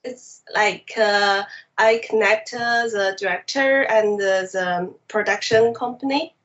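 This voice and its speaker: A woman's voice: mid-range voice, fairly even intonation, slow pace, fairly bright tone, dull audio. A woman from China with a Mandarin accent, native Mandarin speaker, answering interview questions in careful English with many hesitations. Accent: Mandarin Chinese. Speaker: animation director guest.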